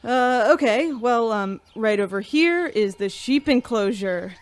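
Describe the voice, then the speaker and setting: A woman's voice: a little high, animated, unhurried. A woman playing a shy, earnest animal keeper on a starship in a sci-fi radio drama, rambling hesitantly. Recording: faint ambient noise, staged barn.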